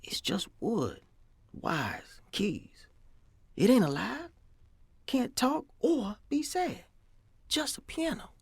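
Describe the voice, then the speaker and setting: Weary, kindly male piano man played by a man in period audio drama: husky, plain-spoken, animated pitch, dry close sound. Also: slow pace, pauses often, no room sound, heavily acted. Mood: gentle, confiding. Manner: folksy, ungrammatical, plain-spoken.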